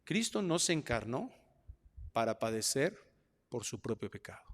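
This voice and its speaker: A middle-aged man with a Mexican accent delivers a sermon in an ordinary mid-range voice. He preaches with animation, dropping into quiet asides and rising loud to mock excuses. A long reverb from the church hall trails each phrase. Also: medium pitch, very animated, moderate pace. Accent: Mexican Spanish. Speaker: pastor.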